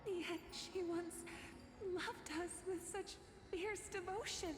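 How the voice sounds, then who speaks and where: A sorrowful, desperate villager from a fantasy game, pleads slowly. The extremely high voice rings with long reverb over music. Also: female voice, steady tone. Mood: pleading, sorrowful. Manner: slow, imploring.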